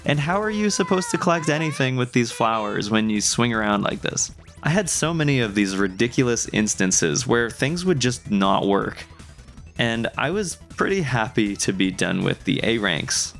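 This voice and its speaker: A man's voice: mid-range voice, sing-song, normal speed, a bit bright. A young adult man narrating a scripted video game review in a wry, conversational way. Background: music.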